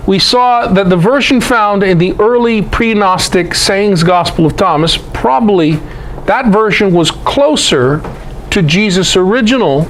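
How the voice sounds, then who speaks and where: A man's voice: sits high, fairly bright tone, a little hiss or hum, rough recording. A man giving a conversational Bible lecture to a group, emphatic, posing rhetorical questions.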